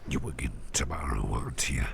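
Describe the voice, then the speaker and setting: Doting gentleman boss for ASMR roleplay, played by a man: extremely low, crackling half-whisper, genteel and hesitant, close on the mic. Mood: fond, gentle. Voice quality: very low, gravelly, breathy near-whisper. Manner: unhurried, hesitant, repeats phrases softly. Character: doting, genteel businessman boss, smooth-talking antique hunter. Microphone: close microphone.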